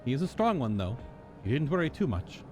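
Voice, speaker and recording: A man's voice: medium pitch, big pitch swings, lightly acted. Man reading as a thoughtful, formal chronicler. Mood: thoughtful, solemn. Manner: measured, earnest, formal. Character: thoughtful, formal adult game character recounting events. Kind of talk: reading quest dialogue aloud. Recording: game music behind.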